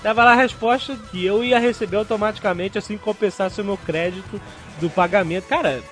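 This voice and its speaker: A Brazilian man hosting a podcast, chatting excitedly and casually with his co-hosts. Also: high voice, brisk, faint music behind. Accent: Brazilian Portuguese. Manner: excited, chatty, keeps saying "cara". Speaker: podcast host. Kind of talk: casual podcast chat and sponsor read.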